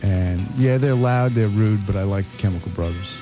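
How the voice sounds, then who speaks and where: A man's voice: lowish voice, moderate pace, highs cut off. A middle-aged American man chatting casually as a freeform radio DJ, telling stories in a wry, rambling, repetitive way. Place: radio studio. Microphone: studio broadcast microphone. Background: music playing.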